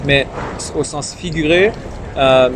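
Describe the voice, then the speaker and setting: A shy young man from Iran, whose first language is Farsi, talks through a relaxed interview, stumbling over fillers and repeated words. His voice sits a little high. Loud city traffic and street noise run underneath. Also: slow pace. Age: young adult. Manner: timid. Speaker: interview guest, young politician. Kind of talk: casual interview conversation. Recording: noisy street and traffic ambience.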